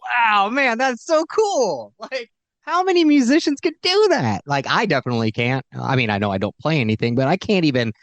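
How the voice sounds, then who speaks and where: Chatty american lady interviewer, super lively pitch swings, dry room, enthusiastic. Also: normal speed, no room sound. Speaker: interviewer, co-host. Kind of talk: casual interview chat.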